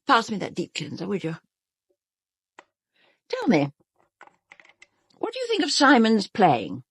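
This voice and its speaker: An actress playing a vain, self-absorbed stage star with a British accent, haughty and melodramatic in a radio drama. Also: female voice, fairly high pitch, wildly swooping pitch, a little slow, slightly rough, heavily acted.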